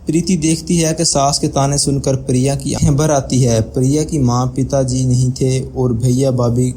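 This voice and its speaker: A man's voice: mid-range voice, level tone, moderate pace, warm tone, slight background noise. A man reading scripted narration as the storyteller of a moral tale.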